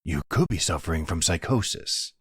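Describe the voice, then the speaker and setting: A man's voice: low-pitched, lively intonation, normal speed, fairly bright tone, husky, dry recording, clean studio sound, heavily acted. A man acting as a worried, insistent boyfriend in a roleplay audio drama, speaking in an earnest, pleading, exasperated way. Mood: concerned, disbelieving.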